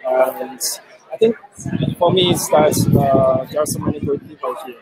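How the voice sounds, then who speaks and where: A man from China, Chinese his first language, answering interview questions in halting English with many pauses. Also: medium pitch, darkish tone, slightly rough, low-quality recording. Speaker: software developer guest. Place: busy event with crowd.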